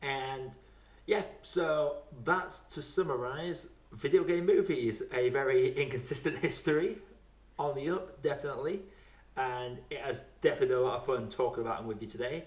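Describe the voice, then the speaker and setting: A man's voice: mid-range voice, moderate pace. A man hosting a podcast with casual chat about films. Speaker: podcast host. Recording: roomy, echoey sound.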